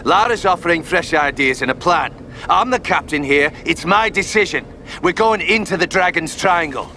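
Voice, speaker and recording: A man's voice: on the high side, expressive, normal speed, pushed and bright, a little hiss or hum. A man playing a blunt, commanding ship captain in a video game cutscene. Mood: firm, impatient.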